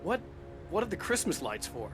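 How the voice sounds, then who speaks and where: Thriller protagonist: intense, dry, clipped and serious, a touch high, faint score behind. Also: male voice, sing-song, normal speed, over music. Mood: dry, incredulous. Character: intense, terse thriller writer hero, adult man. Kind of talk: video game cutscene acting.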